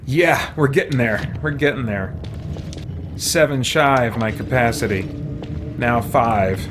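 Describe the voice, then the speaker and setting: A man's voice: mid-range voice, unhurried, music in the background. A man streaming a video game, chatting casually and thinking aloud in a rambling, musing way. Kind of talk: casual livestream chat over gameplay.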